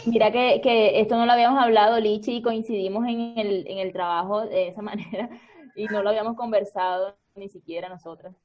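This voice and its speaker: A woman's voice: medium pitch, steady tone, a little fast, muffled recording. A woman who directs and acts, explaining casually with hesitant starts. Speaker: radio theatre actress and director. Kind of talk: casual talk.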